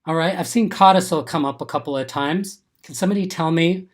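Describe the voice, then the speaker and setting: A man's voice: on the high side, fairly quick. A man recovering from an illness, sounding a little congested, hosting a live exam-prep webinar and reading questions and answers from the chat in an upbeat, encouraging way. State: congested, getting over an illness.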